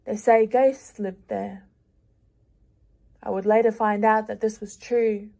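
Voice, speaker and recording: A young woman reading her own lyrical prose aloud in a measured, reflective way with long pauses. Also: medium pitch, fairly even intonation, gravelly, warm tone. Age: young adult. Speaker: prize-winning young writer.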